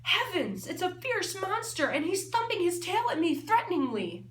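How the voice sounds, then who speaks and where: A woman's voice: very high-pitched, big pitch swings, moderate pace, fairly bright tone, reverberant, recorded from a distance, slight background noise, voice pushed up, heavily acted. A woman voicing a frightened dinosaur in a read-aloud story, exclaiming dramatically about a rival. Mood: alarmed.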